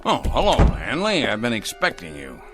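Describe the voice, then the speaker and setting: A man's voice: mid-range voice, huge pitch swings, moderate pace, loud music behind the voice. An actor playing a cold, imperious male factory boss with a pompous, condescending manner in a radio drama. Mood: smug.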